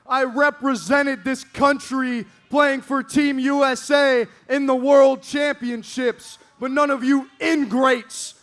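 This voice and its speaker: A teenage man cutting an arrogant wrestling promo in an indoor arena, boasting and yelling at the crowd. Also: very high-pitched, level tone, slow pace, few pauses. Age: teens.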